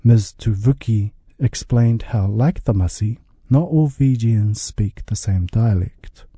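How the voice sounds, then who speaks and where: A man's voice: on the low side, slow, dark tone, husky, no room sound. A man reading a scripted radio news report as the reporter.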